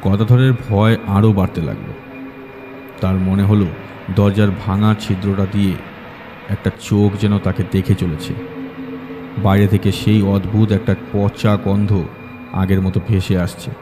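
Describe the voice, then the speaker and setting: A man's voice: fairly low pitch, level tone, a little slow, pauses often, over music. A man reading a scripted horror audiobook as its story narrator.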